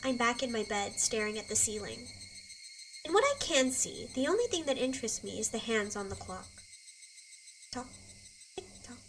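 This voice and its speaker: Unhinged guilt-ridden teen girl, high and piercing, set back from the mic over music. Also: very animated, a little fast, fairly bright tone, recorded from a distance, slight background noise, poor audio. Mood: hollow. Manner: obsessive, repeating apologies, swinging from whisper to scream. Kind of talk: dubbed anime drama monologue.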